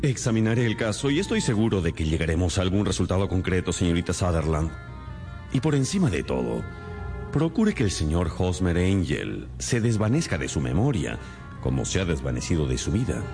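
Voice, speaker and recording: Shrewd detective guy, deep voice that swoops a lot, formal and knowing, radio play. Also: sing-song, over music. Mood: firm, formal. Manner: measured questioning, thoughtful murmurs, formal address. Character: shrewd, composed male detective, knowing and courteous. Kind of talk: Spanish-language radio drama acting.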